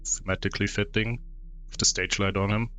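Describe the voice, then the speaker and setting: A man's voice: fairly low pitch, little pitch movement, a little fast, bright, forceful tone, soft background music. A man with a German accent streaming a game, casually commenting and thinking aloud with hums.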